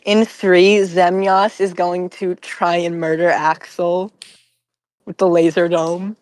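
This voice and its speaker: Woman, lower pitch, dry recording, informal group podcast talk. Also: level tone, takes it slowly, darkish tone, no room sound. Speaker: podcast member. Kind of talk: casual group chat.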